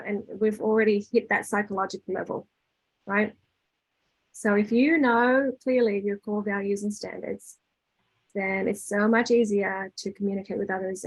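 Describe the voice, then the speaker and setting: A woman's voice: mid-range voice, breathy edge, no echo, low-quality recording. A woman giving a casual inspirational talk, conversational, telling anecdotes with acted-out dialogue and checking in with her listeners. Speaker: speaker leading a spiritual talk.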